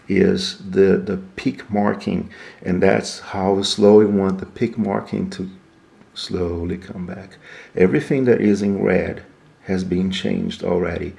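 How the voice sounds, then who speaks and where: Gravelly, very low-pitched man explaining gear. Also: a little mellow. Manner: explanatory, step by step, asks and answers own questions. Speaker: product reviewer. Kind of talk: casual unscripted equipment walkthrough.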